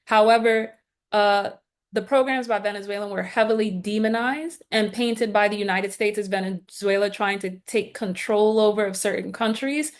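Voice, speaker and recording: A woman from Guyana speaks as a guest on a call, mid-pitched and measured. She explains her points in an earnest, steady way. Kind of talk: lecture-style answer on a video call.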